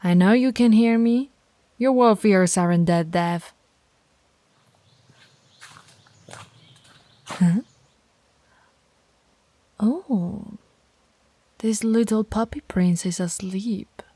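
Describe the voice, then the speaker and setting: A woman voicing a stern, commanding female werewolf pack alpha in an ASMR audio roleplay, bossy and scolding, sometimes dropping to soft whispers. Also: medium pitch, takes it slowly, long pauses, no room sound, close to an everyday voice. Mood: teasing.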